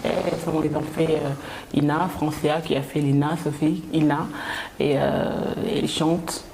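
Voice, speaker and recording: Low-voiced woman from Kinshasa, hesitant. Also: little pitch movement, gravelly, noisy background. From Congo (Kinshasa). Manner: hesitant, many pauses and laughs. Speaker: singer and guest. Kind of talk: casual interview.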